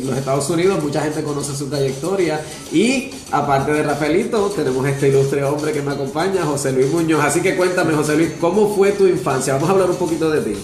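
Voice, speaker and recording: A Puerto Rican man hosting a radio interview, speaking in formal, measured announcer phrasing. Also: a little high, darkish tone, a little hiss or hum. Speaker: radio host. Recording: roomy echo with music behind.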